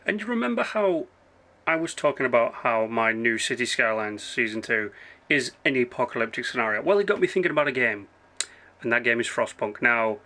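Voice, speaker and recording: A hoarse man who has partly lost his voice, hosting a rambling, chatty let's-play commentary full of asides and self-corrections. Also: mid-range voice, lively intonation, a little fast, bright, forceful tone. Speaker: gaming channel host.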